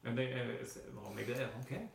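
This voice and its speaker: A man's voice: mid-range voice, expressive, a little fast, creaky, slight background noise. A man co-hosting a podcast in casual, joking banter with friends. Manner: joking, teasing. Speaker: podcast co-host. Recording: roomy, echoing sound.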